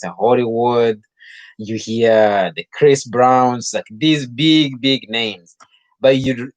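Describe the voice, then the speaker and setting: A man in his thirties speaks with a Rwandan accent in a lowish voice. He addresses listeners informally as an author, often pausing and rewording as he goes. The sound is dry, with very little room echo. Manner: halting, repeats and rephrases phrases. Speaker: author hosting a book-reading talk.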